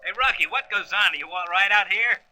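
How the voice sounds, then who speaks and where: A man's voice: sits high, a little fast, bright, forceful tone, low-quality recording. An actor playing a jittery, grumbling spaceman sidekick in a radio serial, chatty and sarcastic. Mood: cheeky, jittery.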